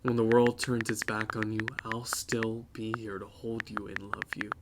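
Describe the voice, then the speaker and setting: A young man playing a smooth-talking, cheating boyfriend in an audio drama. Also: lowish voice, monotone, normal speed, very noisy, natural voice. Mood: tender, reassuring. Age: young adult.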